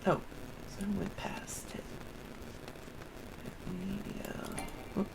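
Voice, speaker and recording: A woman's voice: medium pitch, level tone, takes it slowly, creaky, in a whisper, poor audio. A woman casually commenting on her gameplay as a streamer, muttering to herself as she plays. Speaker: game streamer. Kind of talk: casual live gameplay commentary with read-aloud dialogue.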